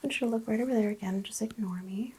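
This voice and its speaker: A woman role-playing a medical examiner in soft-spoken ASMR, murmuring gentle instructions and often slipping into whispers. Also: medium pitch, racing, background noise.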